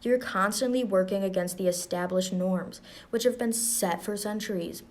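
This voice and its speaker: A young woman with a mid-range voice reads an uplifting passage aloud for students. Her delivery is calm and encouraging, and she turns quick and chatty when she explains the activity. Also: mid-range voice. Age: young adult. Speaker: student reader. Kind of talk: reading a book aloud, then leading a class activity.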